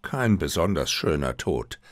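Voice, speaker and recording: A man voicing a calm, drily teasing detective's companion in an audiobook, understated and gently insistent. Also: deep voice, unhurried, no echo, studio quality, voice pushed down. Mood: calm, dry. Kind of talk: audiobook character reading.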